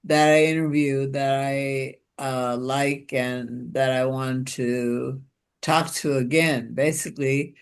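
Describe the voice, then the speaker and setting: A woman's voice: very deep voice, steady tone, clean tone. A woman from Pakistan hosting a casual interview over a video call, talking in a musing way with many restarts. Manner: slow and musing, with many restarts and "you know". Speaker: interviewer and show host.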